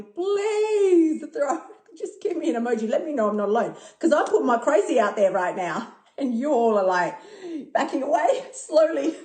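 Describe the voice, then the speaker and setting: A woman in her fifties who talks excitably, swears a lot and laughs often during a casual live stream. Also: on the high side. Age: 50s. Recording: echoey room.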